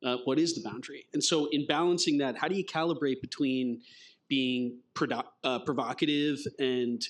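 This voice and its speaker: A man's voice: medium pitch, fairly even intonation, moderate pace. A man moderates a fireside chat on a conference stage in front of an audience. He talks hesitantly, with plenty of fillers and restarts, and drops dry jokes into his questions.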